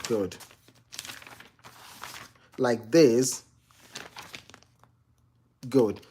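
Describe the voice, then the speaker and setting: Young Ghanaian man, mellow voice. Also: mid-range voice, slight background noise. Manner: chatty, many fillers and restarts. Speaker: medical exam tutor. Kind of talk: casual Q&A walkthrough. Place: home near a kitchen.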